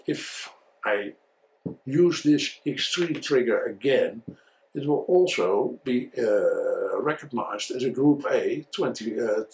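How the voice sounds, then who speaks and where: A man with a Dutch accent and a mid-range voice talks as an instructor in an informal review. As a native Dutch speaker, he explains things didactically. His delivery is halting, with words often repeated as he goes. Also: medium pitch, slight background noise, poor audio. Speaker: photography instructor and video reviewer. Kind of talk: informal product review and tutorial.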